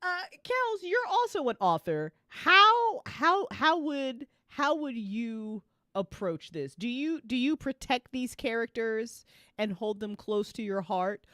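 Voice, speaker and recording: A woman's voice: on the high side, very animated, slow, bright, forceful tone, dry recording, clean studio sound. A woman hosting a casual after-show discussion, talking in a teasing, informal and sometimes profane way. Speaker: host and story creator.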